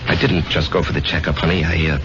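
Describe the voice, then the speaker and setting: An actor with an American accent playing a weary, earnest salesman husband of about thirty in a radio drama, speaking haltingly. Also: very deep voice, fairly even intonation, noisy background. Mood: ashamed. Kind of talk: 1970s radio drama acting. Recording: muffled vintage radio broadcast, music behind.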